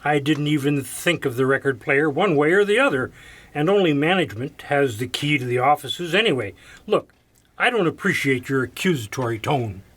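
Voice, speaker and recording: A man playing a touchy, defensive radio programmer in an audio drama, answering curtly with a rough edge to his voice. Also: on the high side, lively intonation, moderate pace, fairly bright tone. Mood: defensive, irritated. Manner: curt, evasive, prickly. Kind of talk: audio theater mystery acting.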